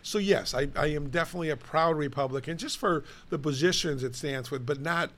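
A raspy-voiced man with a Southern Californian accent, co-hosting a casual local politics chat, joking and repeating himself as he talks. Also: fairly high pitch, a little fast, a bit bright. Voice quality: raspy today. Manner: joking, self-deprecating, repeats phrases. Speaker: co-host, city council member.

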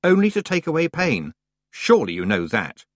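A reader voicing a sensible, sharp-tongued young girl witch, level and reproachful. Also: medium pitch, huge pitch swings, moderate pace, no room sound, clean studio sound, pitched above the performer's own voice. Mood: matter-of-fact.

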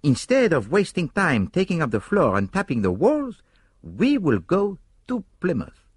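An excitable detective. His voice leaps well above the reader's own and swoops theatrically. He is self-assured and fussy, full of formal, exclamatory turns in audiobook style. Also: a little high, a little slow, husky, no room sound, pitched above the performer's own voice, full character voice. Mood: triumphant.

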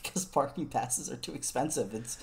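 A man's voice: mid-range voice, fairly quick, gravelly. Laid-back young man, chatty and laughing. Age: young adult. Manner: laughs often, relaxed storytelling with many likes and restarts. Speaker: podcast co-host. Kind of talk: casual chat.

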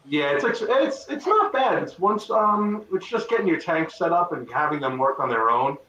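A man from Texas, answering as a guest over a video call in a rambling, halting way with many restarts. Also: fairly high pitch, lively intonation, pushed and bright. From Texas, north of Dallas. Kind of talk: casual interview talk. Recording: roomy, distant, muffled call audio.

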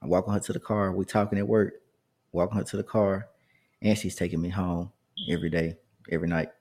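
A man's voice: deep voice, steady tone, a little fast, a little mellow, husky. A man in his thirties telling a personal story in a casual, rambling way, with asides to his listeners. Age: 30s. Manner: conversational, rambling with restarts and asides.

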